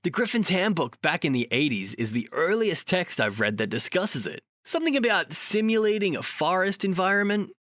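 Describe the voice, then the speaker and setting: A man's voice: high-pitched, sing-song, normal speed, few pauses, fairly bright tone, no echo, clean studio sound. An actor playing a bossy, wisecracking ghost hunter in an audio drama, flippant and emphatic in his delivery. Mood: wry, explanatory.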